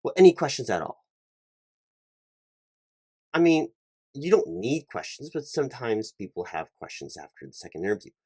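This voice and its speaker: A man playing a polite, fussy, slightly flustered hiring manager in a one-sided ASMR job interview roleplay, speaking formally and haltingly and often repeating himself. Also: mid-range voice, darkish tone, dry recording, lightly acted. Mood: patient, checking. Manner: halting, repetitive, over-careful, formal.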